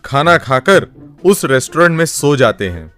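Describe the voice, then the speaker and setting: A man's voice: on the high side, sing-song, moderate pace, fairly bright tone, soft background music. A man narrating a scripted story as a storyteller.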